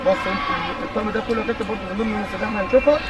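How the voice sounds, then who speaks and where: A man with an Egyptian accent, a football coach running youth tryouts on an outdoor pitch, briskly calling out orders and questions. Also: fairly even intonation. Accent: Egyptian Arabic. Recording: distant mic, loud crowd. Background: crowd of children and parents.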